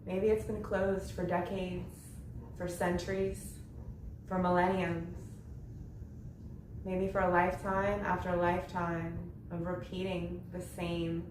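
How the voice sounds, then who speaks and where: A woman leading a guided yoga meditation, speaking gently in short, unhurried phrases with long pauses between them. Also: on the low side, little pitch movement. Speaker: yoga nidra meditation instructor. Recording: roomy echo with faint background noise.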